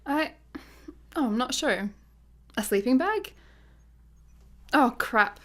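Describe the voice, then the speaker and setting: An actress with a Scottish accent playing a blunt, exasperated satellite worker in a sci-fi comedy radio play. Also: female voice, high voice, animated, slow pace. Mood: casual. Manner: blunt, sardonic.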